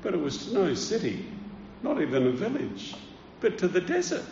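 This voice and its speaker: Male preacher, earnest and deliberate, slightly high-pitched, gravelly fry, echoing church hall. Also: huge pitch swings, darkish tone, slight background noise. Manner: earnest, deliberate teaching with emphatic stresses. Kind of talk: sermon. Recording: roomy, dull church hall recording.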